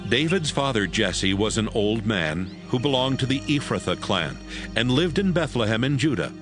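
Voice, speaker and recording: A man's voice: medium pitch, very animated, normal speed. A man narrating a dramatized audiobook as a scripted storyteller. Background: music.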